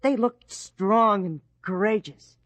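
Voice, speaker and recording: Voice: mid-range voice, slow pace, fairly bright tone, no room sound. A performer playing a curious, respectful young boy in a radio drama, eager and questioning. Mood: earnest, admiring.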